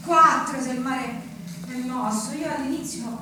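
A woman's voice: high voice, unhurried. An actress playing a defiant, wounded woman who left her provincial town, in insistent, emphatic theatrical stage acting. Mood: earnest, defensive. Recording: echoey stage recording from far off, noisy.

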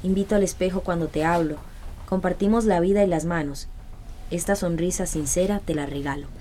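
An actress playing a shy, guarded young poet in a radio drama, with a Costa Rican accent and a hesitant, sincere delivery. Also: female voice, fairly low pitch, a little fast, dark tone, slight background noise, natural voice. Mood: solemn, intimate. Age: young adult. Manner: hesitant, self-deprecating.

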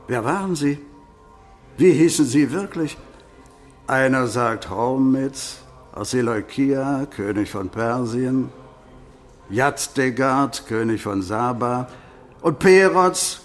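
An actor playing a learned medieval canon in a radio play, lecturing in a pedantic, deliberate manner. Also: male voice, mid-range voice. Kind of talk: German radio play acting.

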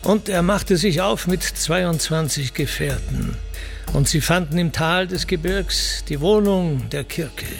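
A male actor playing a seafaring Greek hero who recounts his wanderings in solemn, measured epic verse for radio, in a deliberate declamatory way with pauses. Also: a little high, creaky, faint music behind. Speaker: actor reciting as narrator.

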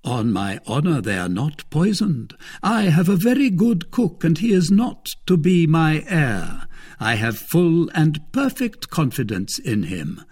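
A sardonic nobleman taunts his captive, as a male narrator puts him on. His voice is raised and lively and has a husky edge. The delivery is ironic and gloating, with a storybook feel. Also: fairly high pitch, a little slow, pitched above the performer's own voice, heavily acted. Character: mocking, sardonic English lord, male.

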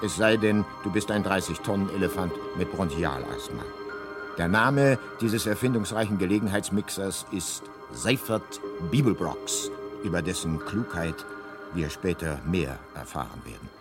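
Radio drama narrator: urbane male guidebook voice, fairly deep, deadpan and measured, clear music bed. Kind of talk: scripted radio play narration.

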